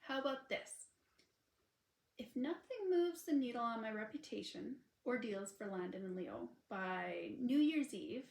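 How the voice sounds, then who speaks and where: A woman's voice: on the high side, lively intonation, measured pace, creaky, a little mellow. A woman voicing an earnest, smitten male hockey star in a romance audiobook. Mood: eager, nervous. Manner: earnest, hesitant, trying to sound casual. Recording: unedited roomy home recording.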